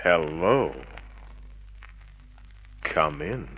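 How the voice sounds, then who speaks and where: An actor playing a tough, wisecracking private detective, sardonic and hard-boiled, in an old radio drama. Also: male voice, very deep voice, expressive, very slow, breathy edge, exaggerated voice. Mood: dry, businesslike. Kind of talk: mid-century radio drama acting with noir narration. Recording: muffled vintage radio drama recording.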